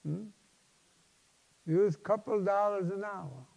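A man in his seventies, a pastor preaching a personal testimony in an emphatic, halting, folksy way. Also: high-pitched, creaky, a little mellow. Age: 70s. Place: large church sanctuary, at a lectern. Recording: long hall reverb.

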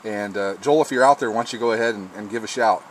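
A man's voice: lowish voice, fast talker. A man speaking casually as an amateur radio operator testing his rig, recorded outdoors. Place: outdoors on a patio table beside a lawn. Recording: faint background noise, recorded outdoors.

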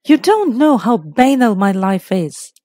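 Woman reader as flustered, stubborn hostess, very lively pitch. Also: measured pace, dry recording, studio quality. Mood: reluctant, plaintive. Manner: indignant, obstinate protesting. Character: stubborn, flustered upper-class hostess, plaintive and self-deprecating. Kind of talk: audiobook character voice in a classic mystery.

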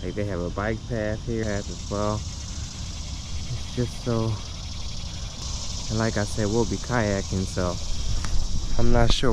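Man, 40s, low voice, upbeat casual vlog, action cam at arm's length, loud insects and cars outdoors. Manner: chatty and upbeat, addressing viewers as y'all. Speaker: vlogger. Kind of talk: casual vlog talk to camera. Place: outdoors in a park on a sunny day. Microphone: handheld action camera at arm's length while walking. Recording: outdoor noise, insects and traffic. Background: cicadas, insects and passing traffic.

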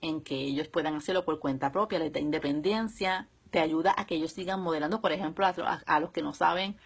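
A woman in her thirties or forties with a Puerto Rican accent and a slightly husky voice, explaining things casually and step by step to a live audience at home. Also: low voice, fairly quick. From Puerto Rico. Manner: conversational, explaining step by step with examples. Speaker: homeschooling mother and live video host. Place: small room at home, indoors. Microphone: phone or webcam at close range.

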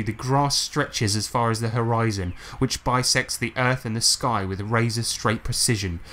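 A man working as game master, narrating a tabletop game with measured, descriptive storytelling. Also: medium pitch, a bit bright.

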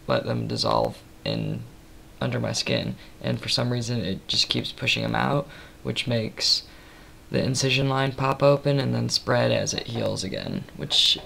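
Tired young man with a gravelly voice. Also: a little hiss or hum. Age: 20s. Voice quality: slightly raspy from tiredness. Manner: rambling, many ums, soft and low-key. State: tired, late at night. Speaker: vlogger. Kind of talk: casual webcam update. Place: small room indoors. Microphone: built-in webcam mic at close range.

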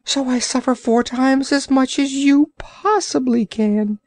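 A woman's voice: high-pitched, unhurried, a little mellow, no room sound, pitched above the performer's own voice. A woman voicing a whiny, complaining male orc creature in a storybook reading, groaning and self-pitying.

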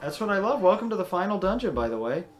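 A young man hosting a let's play, commentating casually in a self-described monotone, rambling through his explanations. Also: high voice, quick pace, faint music behind.

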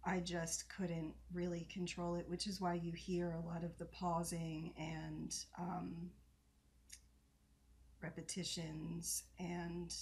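A woman in her thirties talks unhurriedly from a home office lined with bookshelves. Her voice sits a little low with a gravelly edge, and a mild stutter brings occasional pauses and repeats. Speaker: software trainer and video host. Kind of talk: casual reaction commentary.

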